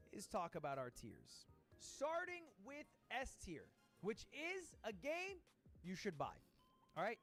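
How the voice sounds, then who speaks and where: High, lively sarcastic male YouTuber. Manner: sarcastic, swears, jokey asides. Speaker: YouTuber reviewing games. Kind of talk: casual comedic video monologue. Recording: video played on stream with faint music bed.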